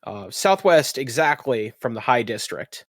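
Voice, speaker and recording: A man's voice: mid-range voice, very animated, measured pace, a bit bright, no room sound, clean studio sound. A man narrating a tabletop roleplaying game as game master, improvising as he goes.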